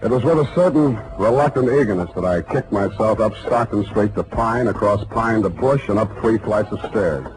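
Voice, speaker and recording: A man's voice: fairly low pitch, normal speed, hardly any pauses, natural voice, low-quality recording. A man acting a wry, laconic hard-boiled private detective in a 1940s radio drama. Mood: wry narration. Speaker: actor and narrator. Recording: vintage 1940s radio broadcast, loud music.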